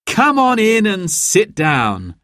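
A kind, welcoming mother, voiced by a man reading a story, speaking slowly and gently. The voice is dry and close. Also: high voice, huge pitch swings, dry recording, voice pushed up, heavily acted.

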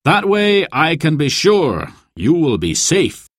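A man's voice: a little high, wildly swooping pitch, slow pace, dry recording, studio quality, pitched above the performer's own voice, heavily acted. A man voicing a generous hostel owner speaking in an inviting way in a storybook reading. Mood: kindly.

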